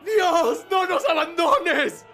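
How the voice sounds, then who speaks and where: A man playing a doomed, desperate man in a horror radio drama, pleading in drawn-out phrases. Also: very high voice, gravelly, voice pushed up, full character voice. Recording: voice under loud music.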